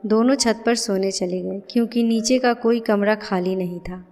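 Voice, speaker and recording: A woman's voice: mid-range voice, fairly even intonation, moderate pace, darkish tone, soft background music. A woman narrating a scripted moral family story.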